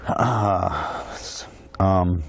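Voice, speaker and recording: A man's voice: low voice, gravelly, noisy background. A man in his forties hosting a call-in show from a small TV studio, talking in a casual, agreeable way. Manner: casual, agreeable, quick interjections. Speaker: host.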